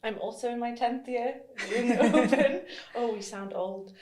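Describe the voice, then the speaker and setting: A woman's voice: on the high side, normal speed, darkish tone. Woman in an echoey room. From northeast England. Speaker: podcast guest, CrossFit coach. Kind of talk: casual chat.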